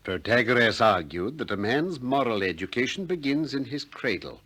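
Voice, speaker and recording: Probing ancient philosopher, courteous irony, deliberate delivery, animated mid-range voice, 1960s radio drama. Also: male voice, mid-range voice. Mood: measured narration. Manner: deliberate, politely ironic, questioning.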